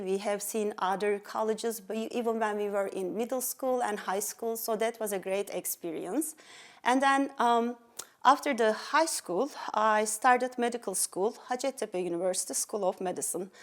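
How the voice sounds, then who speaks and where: A middle-aged woman from Turkey with a Turkish accent, earnestly telling her life story as an interview guest. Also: medium pitch. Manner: earnest, with fillers and restarts. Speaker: pediatric endocrinologist guest.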